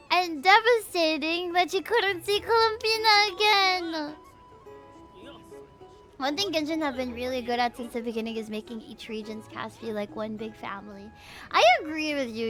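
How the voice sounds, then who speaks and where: Woman, game livestreamer, very high pitch, bright tone, animated and emphatic, music in the background. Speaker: game streamer. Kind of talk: livestream chat reacting to a game.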